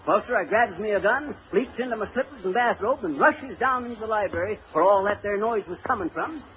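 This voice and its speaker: Give this to a bumbling, bragging husband from a vintage radio comedy. His pitch sits high and leaps about animatedly as he spins folksy yarns in mangled grammar. The old recording is muffled. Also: lively intonation, brisk, fairly bright tone. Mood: boastful storytelling. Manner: folksy, bungling, chuckling braggart. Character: folksy blustering husband telling tall tales, grammar-mangling bluffer.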